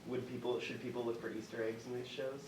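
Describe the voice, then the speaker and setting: A man's voice: medium pitch, flat delivery, fast talker. A man in the audience, chatting casually and asking questions. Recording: distant, echoey room with clear noise.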